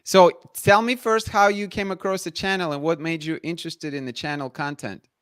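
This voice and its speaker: Male host of a live online show, smooth clear voice, dry close sound, upbeat with viewers. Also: a little high, steady tone, moderate pace, a bit bright, no echo. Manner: upbeat, greets viewers by name. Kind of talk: live stream hosting and interviewing. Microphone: video call.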